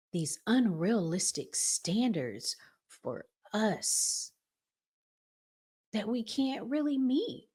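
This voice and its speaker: A woman hosting a commentary vlog, talking conversationally in deliberate phrases broken into short pieces. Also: sits low, breathy edge. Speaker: host.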